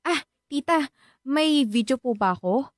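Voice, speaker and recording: An actress playing a polite thirteen-year-old daughter in a Filipino radio drama, speaking in a youthful, respectful way. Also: female voice, high-pitched, lively intonation, a little slow, no echo, clean studio sound. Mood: eager.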